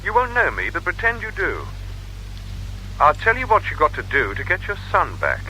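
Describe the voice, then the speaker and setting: An actor playing a cold, mocking kidnapper giving orders over the telephone in a radio drama. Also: male voice, sits high, huge pitch swings, normal speed, bright, forceful tone, heavily acted. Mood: menacing, commanding. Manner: mocking, commanding, falsely polite. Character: taunting, cold-blooded male kidnapper making ransom demands. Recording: noisy old radio drama, telephone call.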